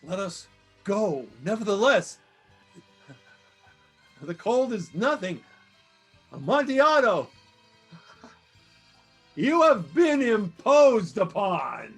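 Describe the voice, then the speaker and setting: A man's voice: high-pitched, sing-song, takes it slowly, long pauses, full character voice. Drunken stubborn reveler acted by a man, blustering, swooping intonation, long echoing reverb, period stage drama. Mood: insistent. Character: drunken, proud, stubborn carnival reveler with a cold. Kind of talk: theatrical period drama acting over video call. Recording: long reverberant echo, music behind.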